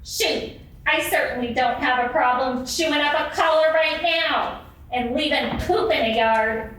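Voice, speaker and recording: A woman performs a feisty female dog puppet with a high, bright voice. It is a live sock puppet show, picked up from a distance in a very echoey room. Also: measured pace. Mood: indignant, earnest. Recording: echoey convention room, far mic, faint noise.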